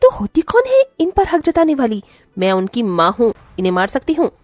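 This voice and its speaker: A cruel stepmother from a moral tale, played by a woman, scolds and threatens in a high voice. Her pitch swings sharply as she sneers and bullies, and the recording is dry and slightly dull. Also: wildly swooping pitch, fairly quick, a little mellow, no room sound. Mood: scolding, threatening. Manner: scolding, sneering, name-calling. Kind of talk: dramatised moral story voice acting.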